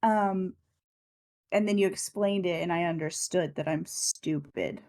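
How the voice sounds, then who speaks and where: A woman's voice: on the low side, unhurried, darkish tone. A young woman chatting casually on a podcast, playful, giggly and self-deprecating. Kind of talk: casual chat.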